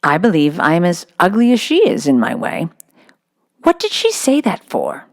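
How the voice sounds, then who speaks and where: A woman's voice: on the low side, wildly swooping pitch, moderate pace, exaggerated voice. A woman voicing a solemn, imaginative little girl from a storybook in an earnest, matter-of-fact way. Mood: frank, puzzled.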